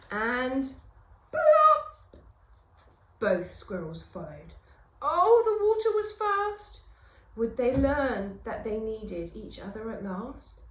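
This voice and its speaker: A woman teacher reading a children's story aloud in a theatrical, expressive way with dramatic pauses. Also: high voice, slight background noise. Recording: roomy, echoing room sound.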